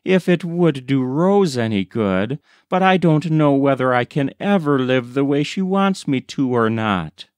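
A man's voice: mid-range voice, expressive, unhurried, hardly any pauses, clean tone, no echo, pitched above the performer's own voice, natural voice. A man reading a storybook voices a weary, troubled, stubborn lumberjack. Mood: weary, discouraged.

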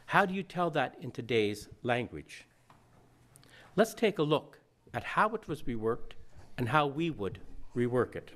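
A man's voice: medium pitch, very animated, a little slow, long pauses, fairly bright tone. A minister in his sixties giving a storytelling sermon in a church, folksy, now and then singing a line. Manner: folksy, breaks into song. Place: church sanctuary.

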